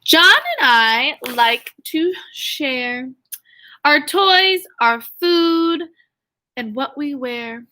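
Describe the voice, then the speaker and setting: A woman's voice: sits high, big pitch swings, extremely slow, pushed and bright, low-quality recording. A woman teacher reading a story aloud to young children, expressively, with questions and asides. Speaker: elementary school teacher.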